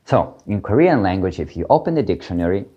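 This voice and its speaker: A man's voice: low voice, very animated, quick pace, muffled recording. A man from Ukraine, teaching a casual video lesson, hesitant, with many fillers and restarts. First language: Russian. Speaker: language teacher.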